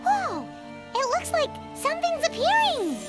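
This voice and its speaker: A woman's voice: very high-pitched, a little slow, over music, exaggerated voice. A woman voicing a tiny childlike fairy companion, cheerful and outspoken, in an anime-style video game. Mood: amazed. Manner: excitable, speaks of self in third person.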